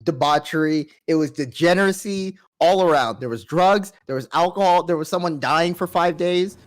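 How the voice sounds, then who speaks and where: A man's voice: on the high side, normal speed. A young man with a nasal voice, telling a trip story in a laid-back, swearing way on a podcast. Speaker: podcast host. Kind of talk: casual chat.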